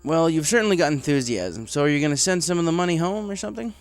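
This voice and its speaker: A man's voice: on the high side, lively intonation, quick pace, soft background music, pitched above the performer's own voice. A man voicing an easygoing, businesslike adult male pegasus boss in a story, casual, frank and matter-of-fact.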